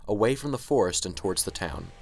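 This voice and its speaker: A man's voice: on the low side, expressive, fast talker, gravelly, slight background noise. A man reading scripted scene-setting narration for an amateur radio drama.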